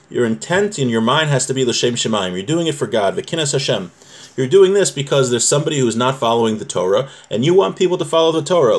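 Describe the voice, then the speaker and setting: Man teaching a religious law class. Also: mid-range voice. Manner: conversational, explains step by step with rhetorical questions. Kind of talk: informal text lesson, reading a source aloud and explaining it.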